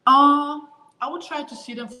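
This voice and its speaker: A young woman from Ukraine answering interview questions about her move and her sport, talking in a relaxed way with lots of fillers. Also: high-pitched, normal speed. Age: young adult. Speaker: college basketball player, interview guest. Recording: roomy with faint ambience.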